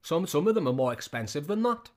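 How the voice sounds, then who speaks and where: A man's voice: fairly high pitch, sing-song, fast, a little mellow. A man in his thirties giving casual, scornful reaction commentary, often repeating his questions. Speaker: reaction video host.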